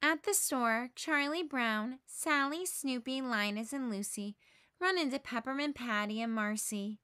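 A woman narrating a children's storybook aloud in a measured, expressive way. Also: high-pitched, smooth, no echo, studio quality.

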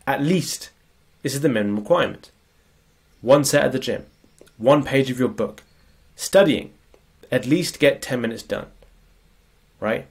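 A man's voice: mid-range voice, measured pace, vocal fry, slightly rough. A man with a cold, giving a casual, conversational productivity lecture while sharing slides, often checking in with "right?". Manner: conversational, frequent tag questions. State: sniffly with a cold. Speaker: productivity coach. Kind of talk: screen-share lecture.

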